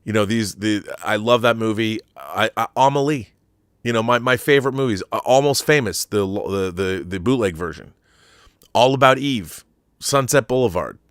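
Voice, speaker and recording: A man's voice: on the low side, expressive, normal speed, a bit bright, clean tone, dry recording, clean studio sound. A man hosting a live talk show, chatting casually about a viewer's question and repeating words for emphasis.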